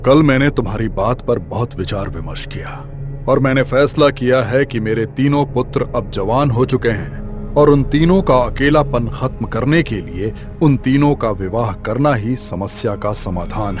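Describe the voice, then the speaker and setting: A man plays a middle-aged king from an animated folk tale. He is proud and dramatic, brooding over his worries and quick to take offence. His pitch is medium, and soft music runs behind him. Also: natural voice. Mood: grave. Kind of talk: animated moral tale voice acting.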